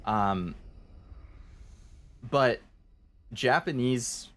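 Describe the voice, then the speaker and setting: A man's voice: medium pitch, animated, very slow, fairly bright tone. A man giving casual, conversational commentary as he streams a video game, musing as he goes. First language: English. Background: game music and dialogue.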